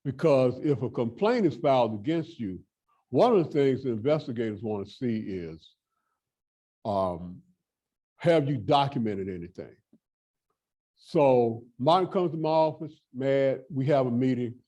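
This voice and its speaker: Male workshop presenter, emphatic and direct, warm low tone. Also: lively intonation, unhurried. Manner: emphatic, repeats points, direct advice. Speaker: fair housing workshop presenter. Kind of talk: training talk to housing staff.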